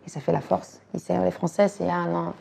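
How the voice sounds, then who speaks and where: A woman from Belgium in her thirties, talking casually and quickly about music and dance, using slang and repeating words. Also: on the low side, little pitch movement, gravelly, mellow, a little hiss or hum. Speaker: actress and director talking about her influences. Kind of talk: casual chat.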